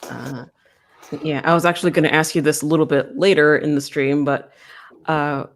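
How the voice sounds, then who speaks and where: A woman's voice: sits low, fairly even intonation, normal speed. A woman hosting a casual livestream interview, talking in a playful, teasing way. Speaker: host.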